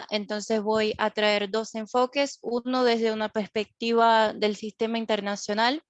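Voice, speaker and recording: A woman's voice: a little high, no echo. A woman speaking Spanish as a second language, giving a careful, slightly hesitant class presentation over a video call. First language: not Spanish. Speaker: student presenter. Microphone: video call microphone. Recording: dull lo-fi video-call audio.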